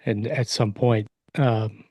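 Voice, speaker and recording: A male podcast co-host chatting casually, haltingly searching for his words. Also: fairly low pitch, steady tone, darkish tone, slightly rough, dry recording. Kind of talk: casual chat.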